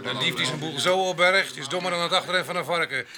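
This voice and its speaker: An actor playing a hot-headed, quarrelsome farm labourer in a radio drama, blustering and sarcastic, with a sharp voice. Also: male voice, on the high side, quick pace, a loud crowd, close to an everyday voice. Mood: scornful. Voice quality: sharp, forceful.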